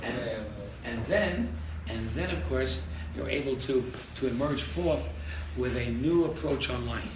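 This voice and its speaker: An older man teaching a lively interactive Torah class as a rabbi, quizzing his audience and joking. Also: mid-range voice, unhurried, hardly any pauses. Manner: animated, quizzes the audience, jokes. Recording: echoey room from far off with noise.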